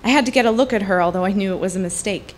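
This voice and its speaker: A woman reading literary fiction aloud at a live event, in deliberate, fluent narration with pauses. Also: mid-range voice. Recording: live event with background noise.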